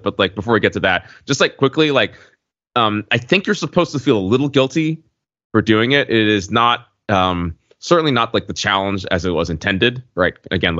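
Male podcast co-host, very low voice, discursive and casual, muffled audio. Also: a bit bright. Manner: wordy, discursive, hesitant explaining with many asides. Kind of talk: casual podcast chat, explaining video game slang. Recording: muffled audio in an echoey room.

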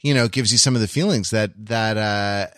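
A man's voice: lowish voice, moderate pace, a bit bright, dry recording. Rambling, wry man, close dry sound. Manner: rambling, wry, digressive storytelling. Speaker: podcast co-host. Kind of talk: casual comic panel chat.

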